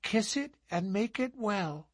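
A small girl of five, earnest and innocent, speaking in a hushed, serious lilt with a husky edge, as a man reading a story puts her on. She sounds far higher than an adult, in a dry close recording. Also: animated, takes it slowly, no echo, exaggerated voice. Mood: grave. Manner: soft, hushed, grave childish lilt.

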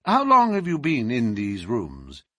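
A man's voice: mid-range voice, wildly swooping pitch, unhurried, bright, forceful tone, dry recording, studio quality, exaggerated voice. A man voicing a cool adult male detective who asks precise questions. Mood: probing. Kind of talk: audiobook character reading.

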